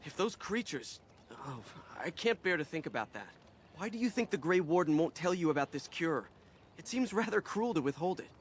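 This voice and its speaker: A man's voice: fairly high pitch, very animated, normal speed, slight background noise. An actor playing an earnest, worried young elven clansman in a fantasy game, with an anxious manner.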